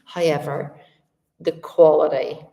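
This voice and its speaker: A Northern Irish woman talks straight to her live viewers in a relaxed, chatty way. Her voice sits low with a gravelly fry. The big old house she records in gives a long, echoing reverb. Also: takes it slowly. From Northern Ireland. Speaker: artist livestreaming to viewers. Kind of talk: casual live chat to viewers. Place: large old house, indoors.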